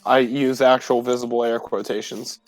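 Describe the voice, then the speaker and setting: A man's voice: medium pitch, little pitch movement, normal speed, no echo, rough recording. A man speaking casually as a player in a tabletop roleplaying game. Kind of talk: casual in-game chat and narration.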